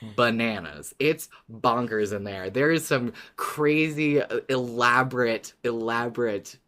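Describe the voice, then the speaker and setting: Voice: mid-range voice, a little slow, fairly bright tone. Podcast co-host, mid-range voice, informal and conversational fan talk. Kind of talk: casual fan discussion.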